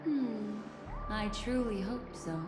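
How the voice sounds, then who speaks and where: Woman acting poised mysterious lady, mid-pitched, coy, measured, politely suspicious, game dialogue, echoey with music. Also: close to an everyday voice. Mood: coolly suspicious. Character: poised, enigmatic memory keeper woman, teasing and suspicious. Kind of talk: English video game voice acting. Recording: echoey reverberant hall, music behind.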